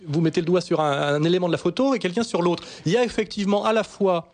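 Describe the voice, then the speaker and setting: A man in a heated radio studio debate, insistent and quick to cut in. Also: high voice, darkish tone. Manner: insistent, interrupting. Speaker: panel guest.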